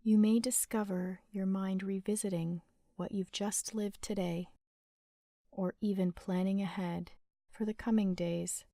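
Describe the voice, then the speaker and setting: Slow, mellow woman guiding sleep meditation. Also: medium pitch, fairly even intonation, no room sound, clean studio sound. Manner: gentle, soothing, unhurried, with long pauses. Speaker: meditation guide.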